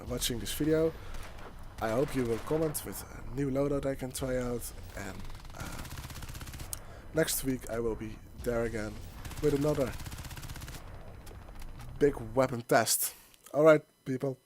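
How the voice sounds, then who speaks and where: A man's voice: medium pitch, measured pace, darkish tone. A young Dutch man with a Dutch accent, speaking English as a second language, giving rambling, hesitant commentary over his gameplay footage. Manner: rambling, hesitant, many restarts. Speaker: gaming YouTuber. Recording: game gunfire and noise behind.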